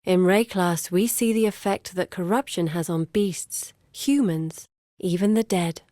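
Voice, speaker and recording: An actress playing a scholarly, driven young woman researcher in a fantasy video game, speaking in an articulate, measured and earnest way. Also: lowish voice, warm tone, no room sound, clean studio sound, close to an everyday voice. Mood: earnest, wistful.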